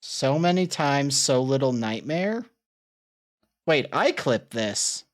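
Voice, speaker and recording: A woman's voice: extremely low pitch, big pitch swings, slow. A woman streamer chatting casually and rambling as she reacts to her old clips.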